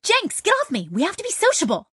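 A woman voicing a bubbly, excitable young woman mechanic with a gushing, hugely friendly manner in an audiobook story. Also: a little high, moderate pace, no echo, studio quality. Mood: excited. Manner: gushing, exuberant.

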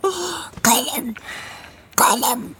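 A man's voice: very high voice, drawn-out words, sharp tone, voice pushed up, exaggerated voice. A man voicing a small wretched creature for a storybook, whining, hissing and pleading in a slyly fawning, gobbling way. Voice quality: creaky, gobbling throat, whimpering. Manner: whining, hissy, repeats words, calls itself we.

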